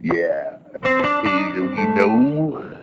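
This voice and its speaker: A man voicing a swaggering, happy old blues singer with a gruff, throaty voice and a boastful, repetitive drawl. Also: deep voice, very animated, very slow, exaggerated voice. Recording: dull lo-fi sound, music behind.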